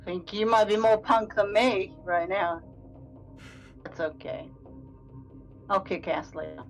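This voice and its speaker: A woman's voice: medium pitch, takes it slowly, faint music behind, poor audio. A woman chatting casually as a player in a tabletop game.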